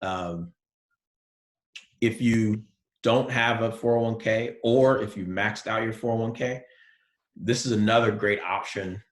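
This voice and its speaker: Man, professor, low voice, soothing, relaxed lecture, fillers, echoey room. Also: measured pace, pauses often. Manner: relaxed, soft-spoken, many fillers. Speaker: college accounting professor. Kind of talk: recorded online lecture.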